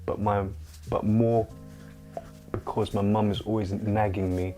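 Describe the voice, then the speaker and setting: Man in his forties, low voice, calm and unhurried, reflective healer, lapel mic, living room. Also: soft background music. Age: 40s. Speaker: energy healer and massage therapist. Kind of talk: livestream Q&A with viewers. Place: dim lamp-lit living room. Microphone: clip-on lapel mic.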